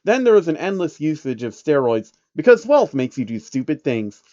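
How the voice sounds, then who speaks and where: A man's voice: a little high, very animated, normal speed, dark tone, dry recording. Dry, muffled man narrating irreverently. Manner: irreverent, sweary asides. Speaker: narrator of online fan fiction. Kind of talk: casual storytelling with comic asides.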